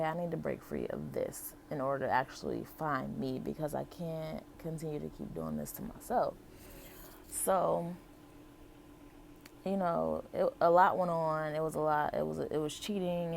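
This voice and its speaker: A woman's voice: low voice, creaky, a little hiss or hum. A young American woman tells a personal story casually to her viewers, in a candid, conversational way with emphatic repetition. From Buffalo, New York, and Las Vegas, United States. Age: young adult. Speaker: vlogger telling her life story.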